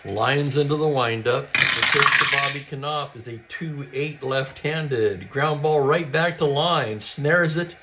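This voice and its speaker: A man calling a tabletop baseball replay as play-by-play, with brisk calls and stat asides, and a voice that is getting hoarse. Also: mid-range voice, very noisy. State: losing his voice, clearing his throat. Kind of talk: play-by-play commentary.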